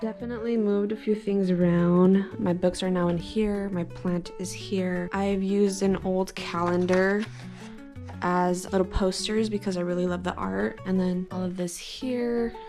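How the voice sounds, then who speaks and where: A young woman in her twenties chats to her camera in an easygoing, rambling way, dropping "like" into her sentences as she goes. Her voice sits at an ordinary middle pitch. Clear guitar music plays underneath her talk. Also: fairly even intonation, a little slow. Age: 20s. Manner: chatty, rambling, frequent "like" fillers. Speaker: lifestyle vlogger. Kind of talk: casual chat to camera and home tour. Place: her own home, indoor living area.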